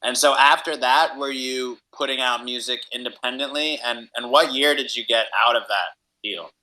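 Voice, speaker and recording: A man's voice: medium pitch, normal speed, sharp tone, poor audio. A man hosting a casual interview, talking in a conversational, affirming way. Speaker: radio show host and interviewer.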